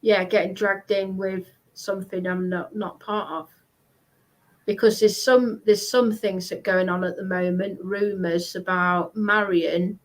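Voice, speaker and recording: A woman chatting casually in a hesitant, halting way, often repeating herself. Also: medium pitch, fairly even intonation, rough recording. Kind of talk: casual chat.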